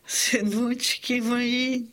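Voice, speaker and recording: A woman's voice: a little high, little pitch movement, drawn-out words, a bit bright. An actress playing a doting, scolding old Cossack mother in a radio play, lamenting and tender. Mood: tender, tearful.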